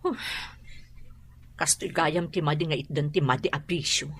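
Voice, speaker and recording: A sullen grown daughter in a radio drama. Her voice is lowish and husky. She complains and makes excuses defensively. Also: moderate pace, a bit bright, full character voice. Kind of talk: Ilocano radio drama acting.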